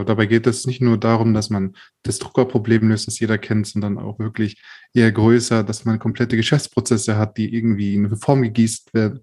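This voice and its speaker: A man who is a guest on a podcast, calmly explaining his work as an IT freelancer. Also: on the low side. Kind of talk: casual interview.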